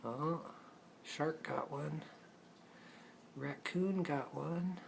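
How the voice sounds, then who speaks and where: A man's voice: fairly high pitch, very slow, vocal fry, a little hiss or hum. A man improvising playful puppet show narration, chatting casually as if to the puppets, in an amateur recording.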